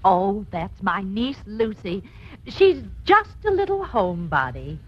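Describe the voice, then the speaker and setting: A woman's voice: mid-range voice, sing-song, measured pace. An actress playing a wry, man-hungry middle-aged aunt in an arch 1950s radio comedy style. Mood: eager, coy. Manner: arch, wisecracking. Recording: dull, muffled 1950s radio recording.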